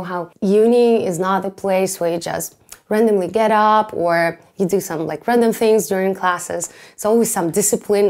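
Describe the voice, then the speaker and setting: A woman in her twenties from Siberia with a Russian accent, speaking candidly and wryly in a documentary interview, with soft laughs between thoughts. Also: medium pitch.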